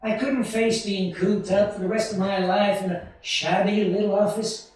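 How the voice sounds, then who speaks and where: A man acts out an eager young dreamer in a vintage radio play. He is earnest and folksy, with a high-pitched voice. The sound is distant and very echoey. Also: steady tone, normal speed, lightly acted. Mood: apologetic, pained. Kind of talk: 1940s radio play acting on stage.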